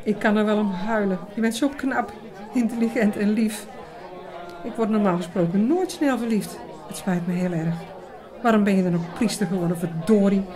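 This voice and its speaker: An actress playing a frank, expressive young woman in an audio drama. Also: medium pitch, normal speed, a crowd in the background, lightly acted. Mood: tearful, confessing. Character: lively, frank young woman in love with priest friend. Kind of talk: Dutch audio drama dialogue.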